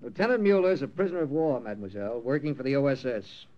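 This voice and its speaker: An actor playing a terse, commanding American wartime intelligence captain with an American accent in an old-time radio drama. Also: male voice, mid-range voice, very animated, rapid-fire, no echo, muffled recording, lightly acted. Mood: firm, urgent. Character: hard-boiled American OSS captain narrating his wartime mission. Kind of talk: 1940s-50s radio drama narration and acting. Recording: vintage radio drama with music.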